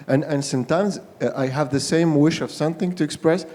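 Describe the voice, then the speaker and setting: A man's voice: on the high side, a little fast, warm tone. A man in the audience who stammers through repeated starts as he makes his point. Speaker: audience member. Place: hall at a symposium. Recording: long reverb in a hall.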